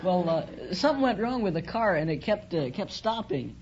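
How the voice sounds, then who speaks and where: A fourteen-year-old American boy with a breaking voice, answering haltingly as a quiz contestant. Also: high voice, very animated, crowd noise. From Los Angeles, United States. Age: 14, teenage boy. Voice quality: breaking adolescent voice. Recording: dull vintage radio, noisy, studio audience.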